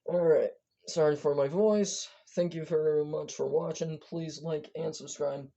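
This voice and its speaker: Hoarse, gravelly amateur weather forecaster. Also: medium pitch, fairly even intonation, unhurried, warm tone. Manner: rambling, stumbling, frequent self-corrections. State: hoarse, losing voice. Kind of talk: casual online weather briefing. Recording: dull lo-fi recording.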